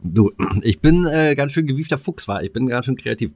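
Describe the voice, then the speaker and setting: A man's voice: mid-range voice, animated, brisk, a little mellow, no room sound. A man, co-hosting a casual podcast in a blunt, colloquial way, sometimes talking while eating. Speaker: podcast co-host.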